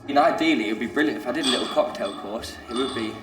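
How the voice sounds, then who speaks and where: Chatty young waiter, roomy hall. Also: male voice, medium pitch, racing. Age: 20s. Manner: chatty, upbeat, self-assured, restarts sentences. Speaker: trainee restaurant supervisor. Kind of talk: documentary interview and on-the-job talk. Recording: roomy space with clear background noise.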